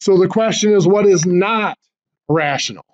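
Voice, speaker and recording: A male teacher giving a math lecture in class, hoarse and clearing his throat as he loses his voice, explaining step by step with fillers and restarts. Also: high-pitched, moderate pace, dull audio.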